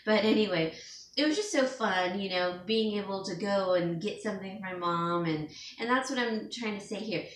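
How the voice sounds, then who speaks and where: A chatty woman vlogs at home in a relaxed, rambling way, sniffling a little from allergies. She sits some distance from the mic, so her voice comes across echoey and roomy. A faint hiss runs under everything. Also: medium pitch, normal speed. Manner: rambling, chatty, with fillers and small laughs. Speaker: home stager and DIY vlogger. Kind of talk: casual chat to camera.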